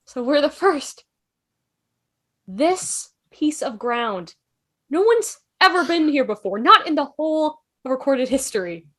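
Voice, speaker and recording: A woman's voice: high-pitched, sing-song, slow, a bit bright, full character voice. A woman playing a clever, curious, chatty time traveller in an amateur drama table read, breezy and inquisitive. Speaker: table read performer and narrator. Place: online video call table read. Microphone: video call.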